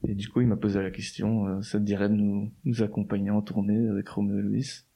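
Young man, relaxed. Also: fairly low pitch, fast, creaky, slightly rough. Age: 20s. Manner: relaxed, slangy, many fillers and restarts. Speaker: guest musician. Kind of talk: casual interview chat.

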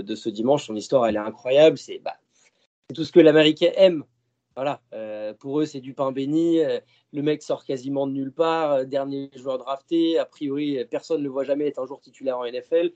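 A man's voice: medium pitch, warm tone. A man, a podcast guest chatting casually, rambling with fillers and restarts. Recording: dull lo-fi sound.

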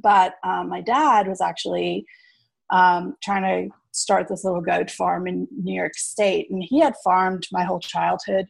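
A woman's voice: lowish voice, level tone, a little slow, gravelly, slightly rough, dry recording. A woman in her thirties, originally from South Africa, chatting in an interview with plenty of ums and light laughs. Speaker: farmer and innkeeper. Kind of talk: casual interview storytelling.

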